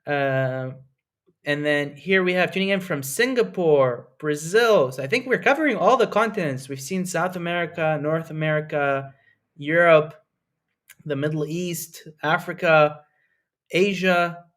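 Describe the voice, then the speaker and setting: A man's voice: a little high, unhurried, fairly bright tone. A young man from Lebanon hosting a live online webinar, talking in an enthusiastic, conversational way with frequent fillers and "right?" tags. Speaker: webinar host and presenter.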